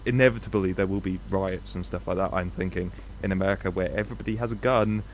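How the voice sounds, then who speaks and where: Low-voiced man, animated podcast chatter. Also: fast, slight background noise. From Scotland. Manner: animated, swears, repeats himself. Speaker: podcast co-host. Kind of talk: casual podcast chat. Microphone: podcast microphone.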